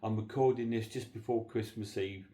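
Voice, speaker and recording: Mellow, low-voiced man, a bit congested. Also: fairly even intonation, normal speed. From southern England. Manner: rambling, earnest, hesitant with many fillers. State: slightly congested. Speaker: horror film channel host. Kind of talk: casual holiday video message.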